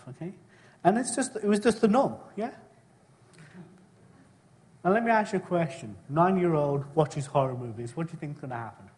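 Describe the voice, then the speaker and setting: A man's voice: medium pitch, a little mellow. Man preaching in a reverberant hall. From born in Kenya, raised in England. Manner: conversational, asks the congregation frequent tag questions; clears throat often. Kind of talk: sermon with personal testimony.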